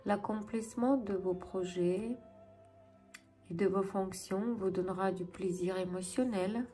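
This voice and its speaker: A woman with a Ukrainian accent giving a tarot reading to viewers as host, in deliberate, halting phrases. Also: mid-range voice, steady tone, mellow, faint music behind. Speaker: tarot reader and channel host.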